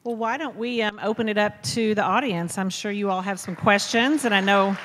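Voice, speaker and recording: A woman's voice: medium pitch, fairly quick, a bit bright, slight background noise. American woman moderator in an echoing hall. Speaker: event moderator. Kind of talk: conversational event hosting. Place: indoor hall at a public talk. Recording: amplified in a reverberant hall.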